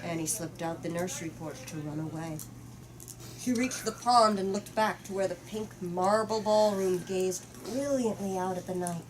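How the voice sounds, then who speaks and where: A woman reading a story aloud to young listeners in an expressive, measured way, then leading a discussion about it. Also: on the low side, distant mic. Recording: noisy room recording.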